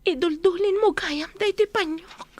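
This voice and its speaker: An actress playing a tender, emotional young office worker in a radio drama, speaking haltingly. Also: female voice, very high-pitched, a little mellow. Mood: stunned, moved. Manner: slow, halting, hesitant delivery. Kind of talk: Ilocano radio drama acting.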